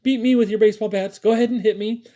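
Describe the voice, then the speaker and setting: Man putting on a high-pitched, melodramatic young girl. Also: big pitch swings, moderate pace, a little mellow. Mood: desperate pleading. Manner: melodramatic, argumentative. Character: pleading, defiant young sister in a story.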